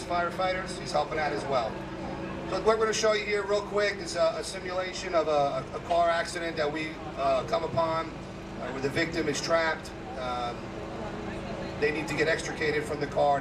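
A man, a fire captain giving a live demonstration talk with a strong, loud voice and many fillers. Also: on the high side. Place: outdoor parking lot. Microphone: handheld microphone to a PA. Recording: distant PA voice, loud outdoor noise.

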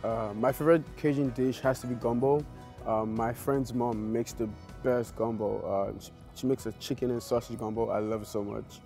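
Calm young man. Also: mid-range voice, normal speed, vocal fry, soft background music. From Nigeria. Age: young adult. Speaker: homecoming court nominee. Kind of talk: interview. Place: outdoor campus garden.

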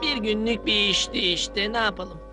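Man as a high-pitched, bratty cartoon boy. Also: steady tone, normal speed, music in the background, lightly acted. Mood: boastful. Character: boastful, cowardly rich boy. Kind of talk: dubbed cartoon acting.

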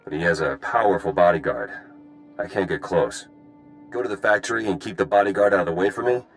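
An actor playing a stern, driven freedom fighter in a video game, with a clipped, businesslike manner. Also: male voice, sits low, fairly quick, clear, resonant tone. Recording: music playing behind the voice.

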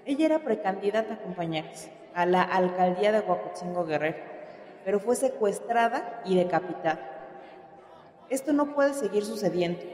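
A woman's voice: mid-range voice, darkish tone, lightly acted. A woman playing an impassioned female party activist in a radio drama, with an emphatic, oratorical delivery. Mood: indignant. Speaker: actor in a radio serial. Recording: echoey hall.

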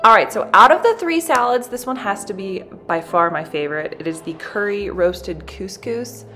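A woman in her thirties, a cooking channel host, chatting brightly through a recipe in a home kitchen. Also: mid-range voice, animated, moderate pace, faint music behind. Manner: bubbly, chatty, addresses viewers as "you guys". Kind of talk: recipe demonstration, voice-over and to camera.

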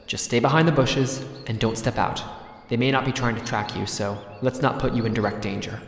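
A man's voice: mid-range voice, fairly even intonation, brisk, close to an everyday voice. A man as a composed creature fighter, reverberant. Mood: calm, protective. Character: calm, protective male blue jackal-like creature fighter. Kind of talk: comic dub voice acting.

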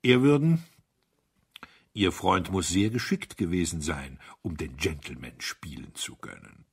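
A man voicing a gruff, sardonic colonel in a dry, grumbling manner for an audiobook story. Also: deep voice, lively intonation, a little slow, voice pushed down, exaggerated voice.

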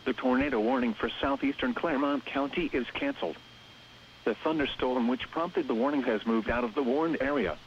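A synthetic-sounding man reads scripted emergency weather announcements over the radio in a measured, impersonal, clipped way. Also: mid-range voice. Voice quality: synthetic text-to-speech sound. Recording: muffled, hissy weather radio broadcast.

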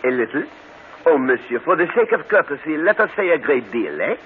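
A shrewd, self-assured detective with a French accent. His fairly high voice swings widely, with mock politeness and theatrical precision. It comes over a tinny old radio broadcast. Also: sing-song, fairly quick, slight background noise. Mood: triumphant, mocking. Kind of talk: 1940s radio mystery acting.

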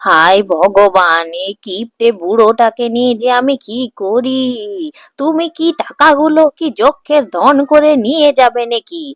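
A woman's voice: on the high side, a little slow, no echo, natural voice. A woman voicing an exasperated, scolding older village wife and mother in a cartoon folk tale, with a dramatic, nagging manner. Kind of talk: Bengali cartoon fairy-tale dialogue.

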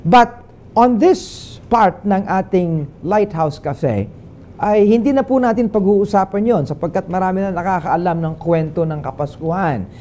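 Older filipino pastor preaching, mixes english and tagalog, highish soft voice. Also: male voice, moderate pace, a little hiss or hum. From Philippines. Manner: switches between English and Tagalog with a preaching cadence. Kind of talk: televised sermon. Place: small studio set indoors. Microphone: lapel.

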